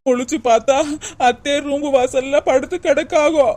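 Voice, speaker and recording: A woman plays a young, fretful daughter-in-law with a high voice. She speaks with a Tamil Nadu village accent and overdone drama, as in a family comedy skit. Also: steady tone, normal speed, darkish tone, a little hiss or hum, close to an everyday voice. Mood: frightened, whining. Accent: Tamil Nadu village dialect. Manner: wailing, overdramatic. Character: flustered, frightened, whining young daughter-in-law. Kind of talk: melodramatic comedy skit acting.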